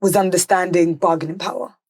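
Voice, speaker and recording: Fast-talking, blunt woman. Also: on the low side, level tone, a little mellow. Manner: blunt, emphatic, assured. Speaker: podcast guest, music legal and business affairs manager. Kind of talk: casual panel discussion.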